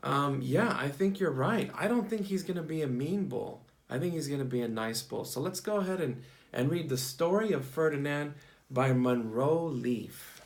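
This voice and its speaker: Male early-years teacher, slightly high voice, lively intonation, reads a picture book and prompts listeners with questions and praise. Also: normal speed. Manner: asks questions, praises and repeats answers in short phrases. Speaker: teacher reading a picture book to young children. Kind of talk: interactive storybook read-aloud.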